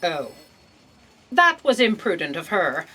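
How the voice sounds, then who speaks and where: A woman's voice: on the low side, very animated, slow, pushed and bright, husky, heavily acted. A woman playing an imperious, impatient boss in a sci-fi audio comedy, commanding and exasperated. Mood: dry, disapproving. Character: imperious, impatient female space boss, editor of destiny.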